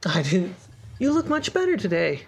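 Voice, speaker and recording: A woman's voice: lowish voice, very animated, normal speed, slightly rough, exaggerated voice. A game master voicing a worried mother, a shopkeeper's wife, who asks hesitant, trailing questions. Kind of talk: voicing a character in a tabletop game.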